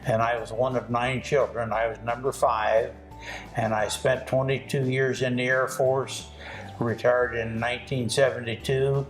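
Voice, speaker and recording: A man's voice: medium pitch, level tone, unhurried, faint music behind. Older retired airman, matter-of-fact, roomy echo. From Ohio, United States. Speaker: veteran guest. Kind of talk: recalling his life story.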